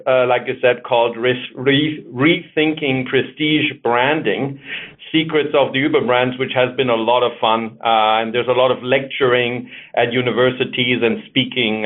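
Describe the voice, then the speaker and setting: A man's voice: mid-range voice, fairly even intonation. German-accented man on a phone line. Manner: measured, explanatory, thinks aloud with fillers. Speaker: author and marketing executive, guest. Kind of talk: interview answers over the phone. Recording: narrow tinny phone line.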